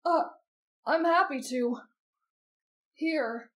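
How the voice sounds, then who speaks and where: A woman voicing a gentle, polite, kind-hearted teenage boy in an anime roleplay, earnest and soft. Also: high-pitched, extremely slow, dull audio. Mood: tender. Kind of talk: anime fan roleplay audio drama.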